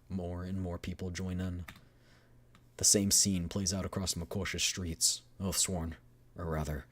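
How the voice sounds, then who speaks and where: A man streaming a dramatic read-aloud of a video game story, with measured, expressive storytelling. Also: low-pitched, breathy edge.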